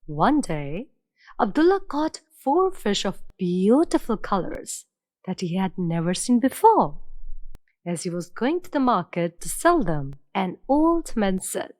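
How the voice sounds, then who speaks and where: A woman narrating an animated fairy tale in an expressive, storybook way. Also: medium pitch, unhurried, no room sound.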